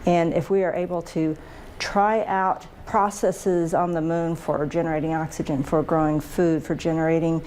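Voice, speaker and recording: Lowish-voiced woman explaining. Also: level tone, moderate pace, a little mellow, slight background noise. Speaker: space research director and former astronaut. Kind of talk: explanatory interview answers.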